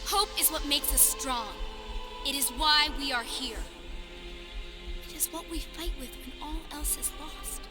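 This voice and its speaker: A woman's voice: high voice, a little slow. A woman playing a brave, earnest young girl in a video game cutscene, speaking in a solemn, pleading way. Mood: quietly hopeful. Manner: solemn, pleading, formal phrasing. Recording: loud orchestral music underneath.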